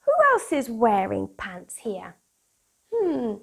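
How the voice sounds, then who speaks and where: A British woman in her thirties presenting for young children in a playful, expressive, sing-song manner, as if hosting a picture-book club. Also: mid-range voice, takes it slowly, gravelly, slightly rough. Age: 30s. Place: small room at home, indoors. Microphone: lapel mic.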